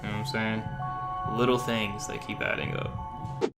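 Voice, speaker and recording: A man's voice: lowish voice, moderate pace. Slangy young American man reacting. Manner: animated, slangy, emphatic, rambling. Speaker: reaction video host. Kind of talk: casual reaction commentary. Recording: faint music behind the voice.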